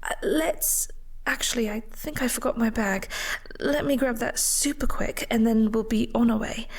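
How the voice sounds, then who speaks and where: A woman playing a flirty, awkward vampire co-worker in an intimate audio roleplay, soft and breathy, with a hesitant, polite, coy manner. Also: fairly high pitch, normal speed, dry recording.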